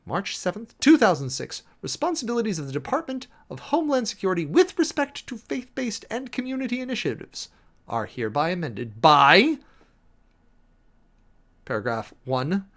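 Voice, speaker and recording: Theatrical man, pitch swinging as he reads. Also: sing-song, normal speed, fairly bright tone. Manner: theatrical, emphatic, spells out punctuation and section headings. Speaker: streamer and host reading a document aloud. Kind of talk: dramatic reading of a legal document.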